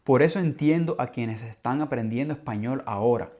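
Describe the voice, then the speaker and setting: A man with a Cuban Spanish accent, a language teacher hosting a podcast for learners in a calm, clear, measured scripted narration. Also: mid-range voice. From Cuba.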